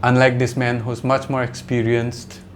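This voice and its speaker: A man's voice: medium pitch, completely flat delivery, moderate pace, a little hiss or hum. A man in his thirties from India, a native Adi speaker, talking in a rambling, reflective vlog monologue full of fillers and restarts. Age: 30s. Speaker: vlogger.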